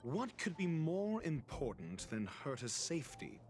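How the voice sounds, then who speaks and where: A man's voice: fairly high pitch, sing-song, unhurried, a little mellow, soft background music. An actor playing an arrogant, impatient male scholar in a video game, questioning with condescension and sarcasm. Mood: accusatory, sarcastic. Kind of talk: scripted video game voice acting.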